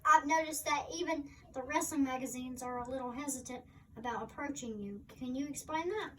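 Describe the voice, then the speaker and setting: A woman with a high voice plays the interviewer, reading out scripted questions. She sounds some way from the microphone, with faint noise behind her. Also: a little fast, distant mic, rough recording.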